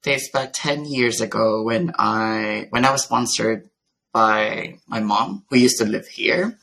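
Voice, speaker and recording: A man from the Philippines, a podcast guest, earnestly telling his story in a measured way. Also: on the low side, steady tone, creaky, bright, forceful tone. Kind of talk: conversational storytelling.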